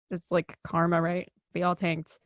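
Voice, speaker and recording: Chatty Midwestern woman, lowish voice. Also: monotone, slow, no room sound, clean studio sound. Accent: Chicago. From American Midwest. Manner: chatty, laughing, many fillers and restarts. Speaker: actor, interview guest. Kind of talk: casual interview chat.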